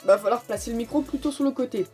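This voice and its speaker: A woman's voice: a little high, quick pace, mellow. A woman hosting a casual, informal step-by-step tutorial, explaining as she goes and announcing each step. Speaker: host of a motorcycle gear tutorial. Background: music.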